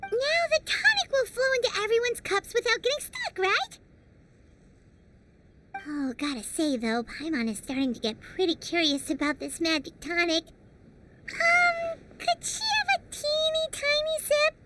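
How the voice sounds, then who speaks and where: A woman's voice: very high voice, big pitch swings, a little slow, background noise, heavily acted. A woman voicing a tiny, chatty girl companion who floats about and speaks of herself in the third person, coaxing and bubbly, in a game cutscene. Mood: pleading. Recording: game score under the voice. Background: music.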